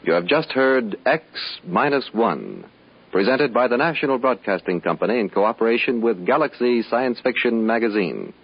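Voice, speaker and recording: American male announcer, 1950s radio style, low husky voice, scripted delivery. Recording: dull vintage 1950s radio recording.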